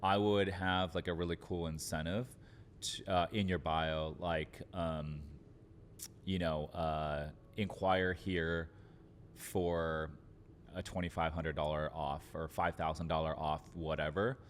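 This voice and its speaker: A man's voice: sits low, level tone, takes it slowly, a little hiss or hum. A man giving conversational business advice as an entrepreneur guest on a podcast, informal and sprinkled with filler words. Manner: informal, frequent fillers like "like" and "literally".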